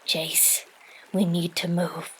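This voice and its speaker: A woman's voice: lowish voice, flat delivery, takes it slowly, a bit bright, a little hiss or hum, rough recording. A woman playing a worried, protective and earnest adventurer in an audio drama. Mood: hushed concern.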